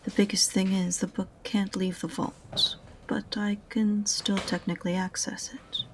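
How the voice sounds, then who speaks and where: Creaky, dry-witted witch librarian, acted by a woman. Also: medium pitch, little pitch movement, measured pace, whispered, close to an everyday voice. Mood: dry, reluctant. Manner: dry, exasperated, condescending. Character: unenthusiastic, sarcastic witch librarian, grudgingly helpful. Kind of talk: audio roleplay acting addressed to the listener.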